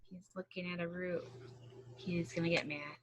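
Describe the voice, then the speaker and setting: A woman reading a picture book aloud in an expressive, chatty way, with casual explanatory commentary. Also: on the low side, normal speed, dull audio, crowd noise. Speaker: storybook reader.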